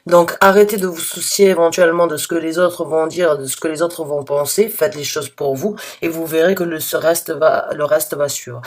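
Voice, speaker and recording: A slightly hoarse woman giving a spontaneous tarot reading to her viewers, halting and restarting often, with earnest, reassuring advice. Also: deep voice, fairly even intonation, a little mellow. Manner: hesitant, halting with many restarts and fillers. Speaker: tarot reader.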